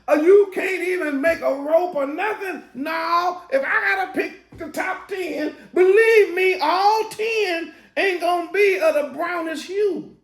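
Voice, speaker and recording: A man preaching a casual sermon, swinging from loud, laughing, shouted asides to slow, drawn-out scripture reading. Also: extremely high pitch, roomy sound. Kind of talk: street-style sermon teaching.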